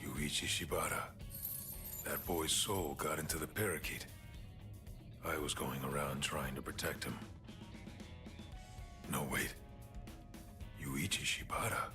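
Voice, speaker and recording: Very deep-voiced quiet teenage guy. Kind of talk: English anime game dub monologue.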